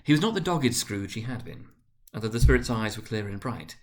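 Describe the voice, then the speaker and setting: A man reading a story aloud as narrator, in a dramatic literary style with theatrical, deliberate phrasing. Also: lowish voice, very animated. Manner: theatrical, deliberate phrasing with emphatic swells and hushed drops.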